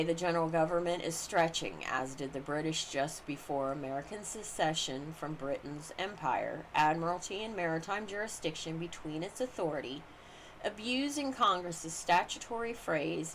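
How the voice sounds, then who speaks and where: Low-pitched woman reading aloud, noisy recording. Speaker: reader of a book on constitutional law. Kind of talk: scripted narration, reading aloud. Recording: clearly noisy amateur recording.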